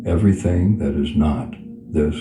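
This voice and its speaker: A man leading a guided meditation, giving unhurried instructions with long pauses between phrases. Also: deep voice. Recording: roomy echo with music behind. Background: music.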